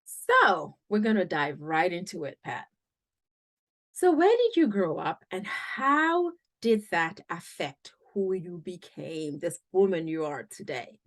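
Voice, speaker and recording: A woman from the United States hosting a podcast and interviewing a guest with enthusiasm. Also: on the low side. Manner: enthusiastic, lively, drawn-out phrasing. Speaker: podcast host.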